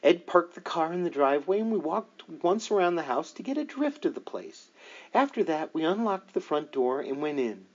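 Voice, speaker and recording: A man narrating a book aloud in a deliberate, measured storytelling manner with dramatic emphasis. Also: a little high, big pitch swings, muffled recording. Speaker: audiobook reader.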